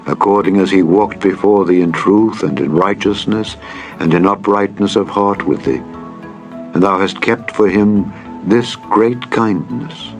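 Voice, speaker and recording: Man as humble young biblical king, lower voice, earnest prayer, reverent, formal, dramatized scripture, light music. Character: humble young King Solomon praying to God. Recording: music bed under the reading.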